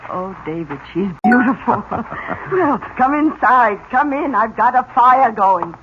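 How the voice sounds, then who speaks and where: An actress in an old-time radio drama playing an effusive, doting older aunt who talks in a gushing, chatty way. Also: female voice, a little high, expressive, takes it slowly. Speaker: radio actor. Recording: dull, noisy old radio recording.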